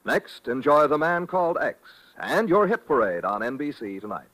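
A man announcing credits for a vintage radio drama in a formal, measured broadcast style. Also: fairly high pitch, sing-song, fairly bright tone, no echo, low-quality recording. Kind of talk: scripted 1950s radio announcing.

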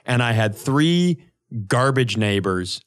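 A man co-hosting a comedy podcast, telling animated, casual stories and acting out the dialogue. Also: medium pitch, slow.

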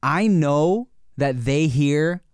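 A man's voice: a little high, drawn-out words, clean tone, no room sound, studio quality. Laid-back, slangy podcast man. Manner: relaxed, slangy, says "you know what I'm saying" a lot. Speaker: podcast co-host. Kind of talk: casual chat.

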